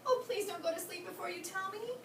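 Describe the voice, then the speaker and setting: A woman's voice: very high-pitched, brisk, a bit bright. Woman doing a tearful pleading mother kangaroo. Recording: echoey room, far from mic, background noise.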